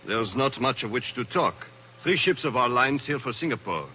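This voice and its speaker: Casting: male actor for courteous but guarded Frenchman running a shipping office, lively inflection, vintage radio sound, dull and hissy. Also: medium pitch, normal speed, natural voice. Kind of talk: 1940s radio acting. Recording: muffled, hissy old radio recording.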